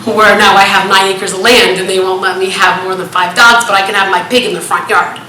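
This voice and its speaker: Woman resident at a public hearing: loud, bright, rambling and insistent, in an echoey meeting hall. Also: mid-range voice, steady tone, fairly quick. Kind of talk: public comment at a town meeting. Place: town hall meeting room. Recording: echoey meeting room with light noise.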